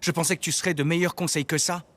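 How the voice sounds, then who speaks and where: A man playing a brooding, idealistic young nobleman in a French animated drama dub. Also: fairly high pitch, fast, close to an everyday voice. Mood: challenging.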